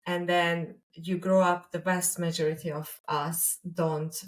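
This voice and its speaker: A woman with a Hungarian accent in a reflective conversational discussion as a podcast co-host, speaking deliberately with pauses mid-sentence. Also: on the low side, level tone, far from the mic. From Hungary, a small village.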